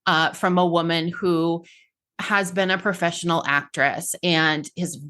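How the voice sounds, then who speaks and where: A woman's voice: lowish voice, fairly even intonation, a little slow, bright, forceful tone, clean tone. A woman hosting a podcast, talking in an upbeat, chatty way and often saying "like.". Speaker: podcast host. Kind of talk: casual interview chat.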